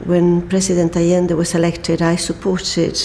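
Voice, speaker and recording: Older woman, Chilean accent, dark relaxed tone, lowish register, first-hand testimony. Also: a little slow, a little hiss or hum. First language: Spanish. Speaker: documentary interviewee, former political prisoner. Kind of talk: personal testimony.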